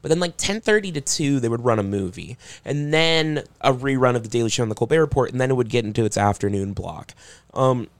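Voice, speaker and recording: Man, podcast co-host, rambling, halting, lots of fillers and restarts, mid-pitched. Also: animated, no room sound. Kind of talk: rambling comedy podcast chat.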